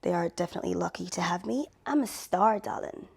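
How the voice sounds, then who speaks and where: A woman's voice: lowish voice, brisk, vocal fry, a little mellow, husky. A young woman playing a posh, vain young woman who calls herself a star, haughty and theatrical. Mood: self-satisfied. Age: 20s.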